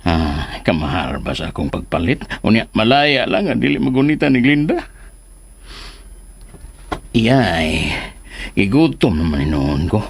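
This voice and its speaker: An actor with a Filipino accent playing a comic, anxious, lovestruck suitor talking to himself with exaggerated delivery in a radio drama. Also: male voice, lowish voice, very animated, unhurried, vocal fry, pauses often, husky, heavily acted. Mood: eager, scheming.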